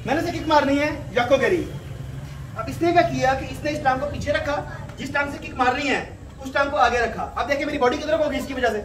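A man leads a martial arts class with commands, counts and pointed questions. His voice sits high. It comes from a distance in an echoey, noisy open courtyard. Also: fast talker, fairly bright tone. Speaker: karate instructor. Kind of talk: live class instruction with drill counts and commands. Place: open concrete courtyard dojo at night. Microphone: distant camera microphone.

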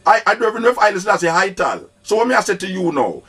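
A man's voice: high voice, very animated, very fast, a bit bright, slightly rough. An older man with a Jamaican accent, hosting call-in radio and arguing insistently, often cutting in. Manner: interrupts insistently, argumentative. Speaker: radio host.

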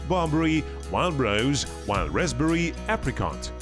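A man reading scripted documentary narration in a lively storytelling way. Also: fairly high pitch, unhurried. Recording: voice-over with music behind.

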